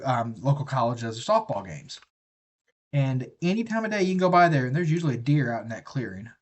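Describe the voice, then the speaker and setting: A man's voice: a little high, muffled recording. A man chatting casually on a live stream, rambling and profane as he talks. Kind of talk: casual rambling chat while building a kit.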